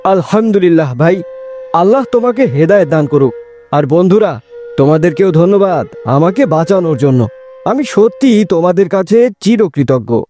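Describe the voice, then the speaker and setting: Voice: mid-range voice, animated, normal speed, mellow, natural voice. A performer voicing an earnest, pious young boy in a moral cartoon. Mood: grateful. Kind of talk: cartoon voice acting. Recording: music behind the voice. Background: background music.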